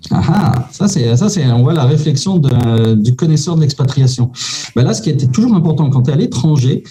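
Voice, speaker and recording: Hesitant man, mellow dark voice. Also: a little high, animated, brisk, soft background music. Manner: hesitant, many "euh" fillers, informal. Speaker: immigration consultant guest. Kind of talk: casual advice chat.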